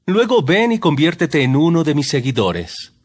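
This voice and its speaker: A calm solemn male teacher in an audio bible drama, very animated pitch, music behind. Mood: earnest teaching. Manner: measured, emphatic, solemn teaching. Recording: background music under the voice.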